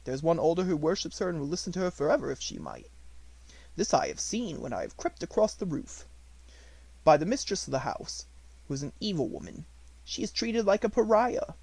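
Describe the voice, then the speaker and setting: A man voicing a devoted, respectful Indian manservant in a storybook, speaking in formal, poetic, measured phrases. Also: high-pitched, animated, gravelly, darkish tone, breathy edge, dry recording, pitched above the performer's own voice, heavily acted. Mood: reverent, tender.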